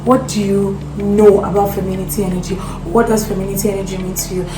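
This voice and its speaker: A young Nigerian woman with a Nigerian accent chats with an outspoken, animated manner, dropping into Pidgin now and then. Her voice sits at an ordinary middle pitch. The room is echoey, with clear music playing underneath. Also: fast. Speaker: vlogger host. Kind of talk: casual chat. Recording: roomy sound with music behind.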